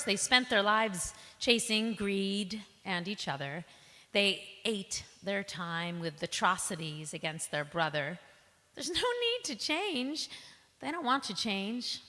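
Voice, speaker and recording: A woman giving a dramatic spoken word recitation, phrasing deliberately and theatrically with pauses. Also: mid-range voice, lively intonation, bright, forceful tone. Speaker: reader in a staged ensemble performance. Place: large synagogue hall, live performance. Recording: reverberant hall.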